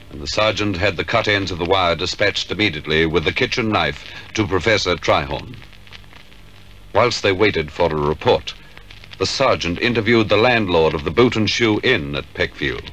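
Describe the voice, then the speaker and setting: Male narrator, low and forceful, formal deliberate storytelling, vintage crime serial with faint hiss. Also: animated, low-quality recording. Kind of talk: scripted 1950s radio crime drama narration. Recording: hissy 1950s radio recording.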